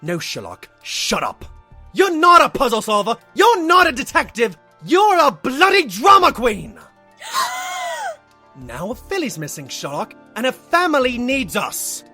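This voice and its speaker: Compassionate pony doctor and sidekick, male actor: high, animated, forceful, emphatic, scripted drama delivery. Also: measured pace, soft background music, heavily acted. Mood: indignant, pleading. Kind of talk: scripted audio drama acting and narration.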